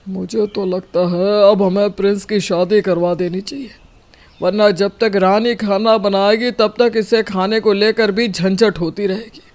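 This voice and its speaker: A man's voice: high-pitched, fairly even intonation, a little slow, darkish tone, a little hiss or hum. A man voicing a grumbling, fault-finding middle-aged father in a cartoon family drama.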